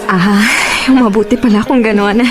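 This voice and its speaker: An actress playing a modest, joking young newcomer in a radio drama, playful and self-deprecating. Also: female voice, medium pitch, steady tone, moderate pace, lightly acted. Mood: shy, polite. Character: modest, joking young woman newly working abroad. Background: faint music.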